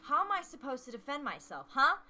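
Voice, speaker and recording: A woman's voice: high voice, big pitch swings, fast talker, pushed and bright, faint music behind. A young woman in casual, playful let's play chat, also reading a game character's lines aloud.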